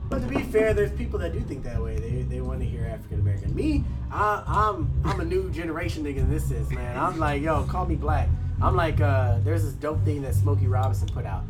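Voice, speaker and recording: Casual profane male co-host, slightly high voice, loud music underneath. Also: expressive, a little fast, hardly any pauses, poor audio. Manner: laid-back, profane, talks it through with "you know what I mean". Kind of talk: casual chat.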